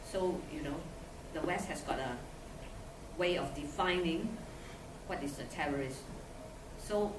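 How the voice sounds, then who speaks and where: Low-voiced older woman lecturing in echoey hall. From Singapore. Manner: earnest, conversational, repeats and restarts. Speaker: guest speaker, surgeon. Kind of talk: public talk to students. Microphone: distant from the mic. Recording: echoey, distant hall recording with loud hiss.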